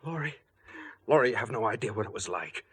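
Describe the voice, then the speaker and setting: An actor playing an anxious, guilt-ridden murderer in 1940s radio style, husky and agitated. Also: male voice, fairly low pitch, animated, fast talker, over loud music, lightly acted. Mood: shaken. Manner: agitated, pleading. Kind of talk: 1940s radio mystery acting.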